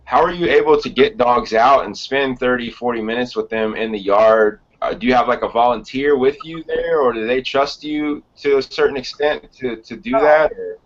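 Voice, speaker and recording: Man, podcast host, medium pitch, casual conversational interviewing, lo-fi muffled audio. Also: moderate pace.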